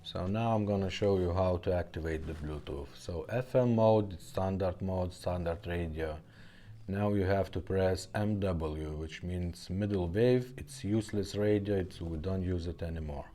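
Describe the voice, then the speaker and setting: Deep, mellow man demonstrating gear. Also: a little slow. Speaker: host, car radio repair technician demonstrating a unit. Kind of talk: casual product demonstration.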